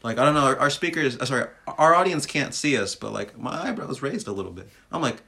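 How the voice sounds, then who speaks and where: A man's voice: medium pitch, animated, quick pace, creaky, sharp tone. Guy co-hosting a music podcast, easygoing, says like a lot, mid voice. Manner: loose and conversational, heavy use of "like" fillers. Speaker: podcast co-host. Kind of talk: casual music podcast discussion.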